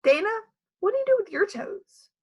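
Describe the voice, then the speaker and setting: A woman's voice: very high-pitched, sing-song, moderate pace, a little mellow, dull audio, pitched above the performer's own voice, exaggerated voice. Lady doing an earnest little schoolgirl from a storybook, stubborn, emphatic, voice goes up and down a lot. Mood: puzzled. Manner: emphatic, insistent.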